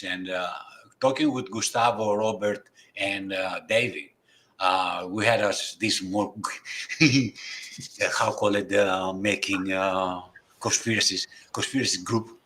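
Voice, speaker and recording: Hesitant Greek-accented man, low voice. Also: slow, bright, forceful tone. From Greece. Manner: hesitant, apologetic, many fillers and restarts. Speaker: guest presenter. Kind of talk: casual livestream chat.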